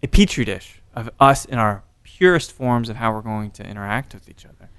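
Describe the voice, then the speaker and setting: A man's voice: on the low side, expressive, a bit bright, clear, resonant tone, no echo. A man hosting a podcast interview, joking and laughing easily in casual chat. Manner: playful, jokey, quick to laugh. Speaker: podcast host.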